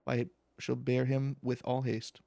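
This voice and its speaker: A man voicing a grave, formal, steadfast warrior from a fantasy game in measured speech. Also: mid-range voice, monotone, vocal fry, mellow, no echo. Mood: solemn, resolute. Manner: measured, formal, archaic phrasing.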